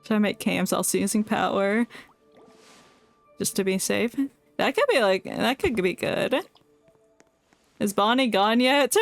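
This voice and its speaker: A woman's voice: medium pitch, a little slow, gravelly, long pauses. A woman giving casual live game commentary, giggly and chatting away to herself and to the characters in the game. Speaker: game streamer. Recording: background music under voice.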